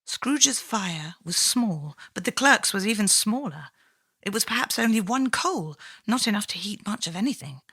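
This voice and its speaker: A woman narrating a children's story with theatrical, deliberate, suspenseful phrasing. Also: mid-range voice, animated, fairly bright tone, slightly rough, no echo.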